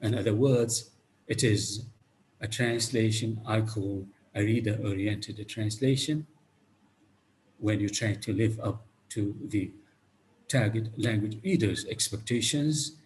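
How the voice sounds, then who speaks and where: Man from Iraq, low pitch, video-call lecture, step-by-step explanations, rhetorical questions, faint background hiss. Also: takes it slowly, darkish tone. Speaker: guest lecturer. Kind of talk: online academic lecture with questions.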